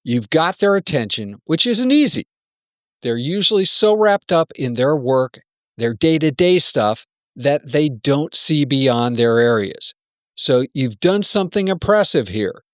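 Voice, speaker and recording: A man's voice: a little high, expressive, a little slow, no room sound, studio quality. A man playing a wary, self-protective senior executive in an amateur radio play, talking in a blunt, cautioning, persuasive way. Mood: guarded, advising.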